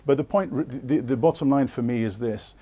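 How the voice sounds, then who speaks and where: Male interviewee, warm mellow timbre, earnest and insistent, hesitant delivery. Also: medium pitch, normal speed, slightly rough. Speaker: interviewee, former political candidate. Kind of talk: live political TV interview.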